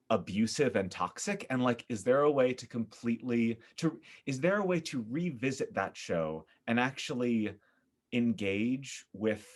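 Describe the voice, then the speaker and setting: A man with an American accent, from upstate New York, talking casually as a podcast guest in an animated, opinionated, emphatic storytelling way. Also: mid-range voice. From upstate New York, United States.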